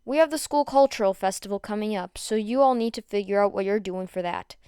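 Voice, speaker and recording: A woman reading the part of a tired male high school teacher with a dry, deadpan manner in a story. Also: a little fast, smooth, no room sound, natural voice. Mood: deadpan, weary.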